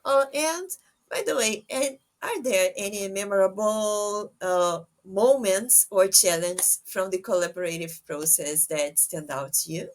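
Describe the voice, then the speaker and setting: Slow-talking woman hosting a podcast. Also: mid-range voice. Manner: halting, pauses between short phrases. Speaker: podcast host. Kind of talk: casual interview chat.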